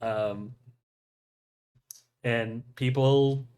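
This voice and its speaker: This is a man with an average-pitched voice in casual podcast conversation. He rambles with enthusiasm, doubling back as he explains things. Small chuckles often slip into his talk. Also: steady tone, extremely slow, darkish tone, smooth. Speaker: podcast host. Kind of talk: casual chat about anime.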